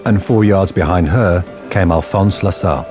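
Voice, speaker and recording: A man playing the narrator of a crime radio drama, delivering scripted story in a measured, deadpan manner. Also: deep voice, music in the background, close to an everyday voice.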